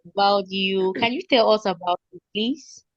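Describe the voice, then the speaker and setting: A woman's voice: mid-range voice, moderate pace, dry recording. A woman from Cameroon, facilitating a webinar in a friendly, chatty way over a video call. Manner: friendly, chatty self-introduction. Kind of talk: casual webinar chat. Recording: dull lo-fi video call audio.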